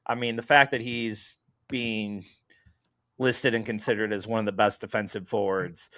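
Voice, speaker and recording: A man's voice: fairly low pitch, steady tone, moderate pace, sharp tone, no echo, studio quality. A man hosting a casual sports podcast who jokes, laughs a lot and swears now and then. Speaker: podcast host.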